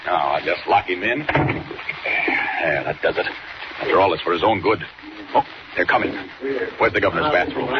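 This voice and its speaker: A man acting as a brave, urgent superhero from a 1940s radio serial. His voice sits low and commanding, under heavy noise in a muffled old recording. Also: close to an everyday voice. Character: brave forceful male superhero, urgent and determined.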